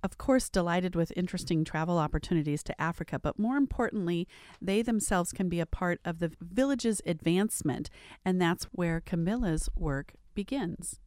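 Low-voiced woman interview host. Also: normal speed, hardly any pauses, a little mellow, no room sound, clean studio sound. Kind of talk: studio interview. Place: studio.

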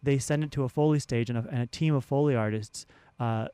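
Smooth-voiced man explaining haltingly in a studio. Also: medium pitch, mellow, dry recording. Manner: halting, repeats words while explaining. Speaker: interview guest, theatre sound designer and professor. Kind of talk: explanatory radio interview talk. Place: radio studio. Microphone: studio microphone.

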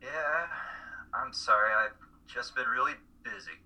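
A man's voice: medium pitch, a little slow, bright, forceful tone, poor audio. A young man playing a depressed, defensive, drunk college student in a play reading, slightly slurring his words. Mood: sheepish, evasive. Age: young adult.

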